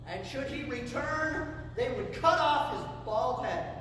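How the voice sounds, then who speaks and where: A man's voice: very high-pitched, animated, takes it slowly. Showman on a distant, echoing stage. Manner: showman-like, grandiose, teasing delivery. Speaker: stage performer and show host. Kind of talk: theatrical comedic stage patter for a live audience. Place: live festival performance before an audience. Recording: echoey live stage, distant and noisy.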